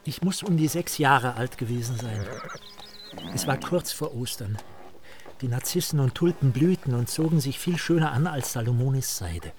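An actor in a German radio play playing a courteous, self-important man who tells tales in a formal, drawn-out, theatrical manner. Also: medium pitch, animated, crowd noise, close to an everyday voice. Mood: fond reminiscing. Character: Mr Hund, courteous, self-important storyteller savouring his sinister tale.

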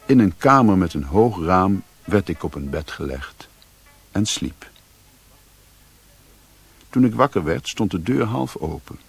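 An actor as a grave storyteller narrating a radio play in a deliberate, literary manner. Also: male voice, low-pitched, sing-song, vocal fry, slightly rough, faint music behind, full character voice. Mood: subdued. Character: grave storyteller recounting a mysterious journey.

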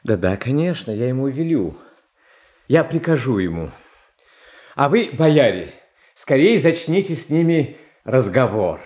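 An actor playing a gentle, childlike young tsar in a radio tragedy, halting and earnest, often repeating himself. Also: male voice, medium pitch, sing-song. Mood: eager, fussy. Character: gentle, anxious, kind-hearted young tsar, childlike and pleading. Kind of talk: Russian stage tragedy as radio play.

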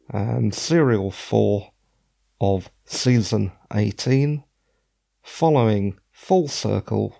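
A middle-aged man hosting a podcast with a hoarse, croaky voice, chatting in a digressive, self-deprecating way. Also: on the low side, dark tone. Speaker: podcast host. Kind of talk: casual podcast commentary.

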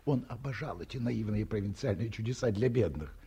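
A husky, wistful old academic. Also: male voice, on the low side, animated, a little fast, dark tone, heavily acted. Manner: reminiscing, ironic storytelling. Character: aging male academic, wistful and wry. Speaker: radio drama actor. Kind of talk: 1950s radio play acting.

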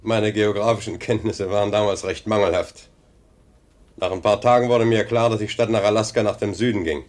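Man doing confident worldly big brother in 50s radio drama, low voice, measured and declamatory. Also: steady tone, a little mellow, lightly acted. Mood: self-satisfied. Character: self-assured, successful, adventurous older brother, always in a hurry. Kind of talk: 1950s German radio drama acting. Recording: old 1950 radio play recording.

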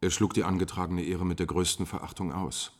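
An actor playing a smug, scheming prince's emissary in a classical German radio play, with pompous, oily formality. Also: male voice, deep voice, little pitch movement, fairly quick, in a large hall. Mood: aggrieved reporting.

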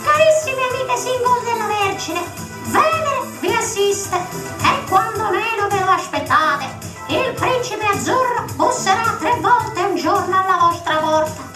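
A flamboyant TV astrologer, put on by a woman with a very high, piping voice that sings and gushes through her forecasts. She sounds mock-solemn and theatrical, in an echoey room with loud music playing behind her. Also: expressive, measured pace. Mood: gushing. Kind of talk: radio comedy sketch.

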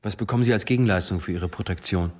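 Senior police chief, radio drama, rough-edged voice, clipped, dry, sceptical, authoritative. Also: male voice, low-pitched, fast, a little mellow. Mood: sceptical, reproving. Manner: clipped questioning, dry, reproving. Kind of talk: German radio drama dialogue.

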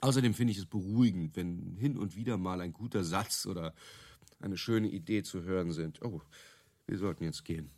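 An actor playing a placid office employee who calmly explains how things work in a radio play. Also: male voice, low voice, normal speed. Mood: calm, explaining. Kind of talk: German radio play, conversational acting.

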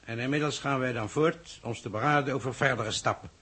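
An actor playing a grave, secretive, authoritative government minister in a 1960s Dutch radio play, speaking formally and with measure. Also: male voice, medium pitch, a little fast, pushed and bright, husky. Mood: commanding. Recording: old 1960s radio drama recording.

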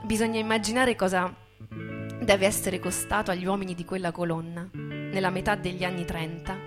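A woman narrating a literary stage piece with deliberate, expressive phrasing. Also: mid-range voice. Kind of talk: dramatic literary narration for theatre. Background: guitar music.

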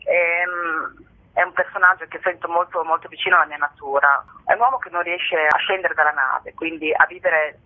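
A woman's voice: fairly low pitch, steady tone, normal speed. An actress giving an earnest radio interview, searching for her words. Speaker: theatre actress, interview guest. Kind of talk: thoughtful radio interview talk. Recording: narrow telephone line.

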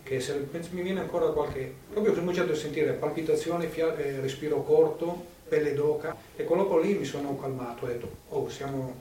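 A man's voice: on the high side, fairly quick, hardly any pauses, warm tone. An older man recounting his own memories in a conversational way, as testimony. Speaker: witness giving testimony. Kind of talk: personal recollection, conversational storytelling. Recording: roomy, distant, noisy video interview sound.

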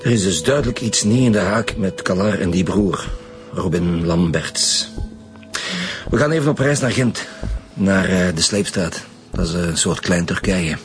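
A dogged middle-aged detective in a Flemish-accented radio drama. His low voice is blunt and commanding, with music clearly playing behind it. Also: moderate pace. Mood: wry, decisive. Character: dogged, brisk male lead detective of a homicide squad.

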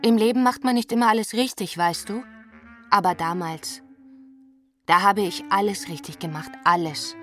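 A woman's voice: mid-range voice, fairly bright tone, lightly acted. An actress playing a wistful, intense woman confiding about a lost love in a radio drama, in short theatrical phrases that linger on words. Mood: resigned.

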